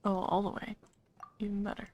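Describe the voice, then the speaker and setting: A woman livestreamer chatting casually while gaming, in a low-key, somewhat hesitant way. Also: completely flat delivery, brisk, darkish tone, slight background noise. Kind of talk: casual game stream chat.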